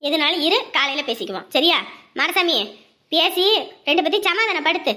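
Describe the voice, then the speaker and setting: A woman voicing a calm older family elder who talks softly and coaxes with proverbs in a family comedy skit. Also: a little fast, heavily acted. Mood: soothing. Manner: low, gentle, coaxing with proverbs.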